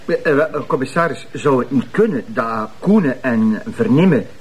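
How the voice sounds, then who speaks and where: A man playing an eager, timid junior Flemish policeman, hesitant and earnest, with a husky voice in a TV drama. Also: mid-range voice, animated, normal speed.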